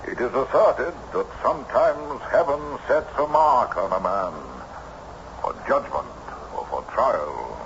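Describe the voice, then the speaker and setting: An actor playing an eerie country tale-teller in a vintage radio drama, with deliberate old-fashioned phrasing. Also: male voice, medium pitch, muffled recording. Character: loquacious male country teller of eerie tales, weary traveler. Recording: noisy band-limited old radio recording.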